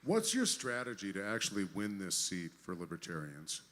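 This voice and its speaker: A man moderating a political debate, asking questions in a measured way with hesitations. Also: deep voice, wildly swooping pitch, a bit bright.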